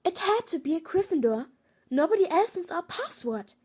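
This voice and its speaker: A woman playing an earnest, quick-thinking schoolgirl in an audio drama, speaking emphatically. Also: very high voice, sing-song, darkish tone. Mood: intent. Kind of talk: fan audio drama acting.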